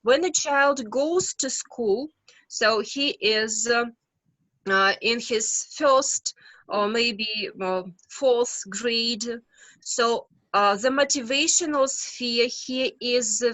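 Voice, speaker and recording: A woman with a Russian accent, a psychologist answering questions on a video call, speaking slowly and carefully with many hesitations. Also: fairly high pitch, a bit bright, dry recording, rough recording. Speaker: guest expert psychologist. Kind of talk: webinar Q&A answer.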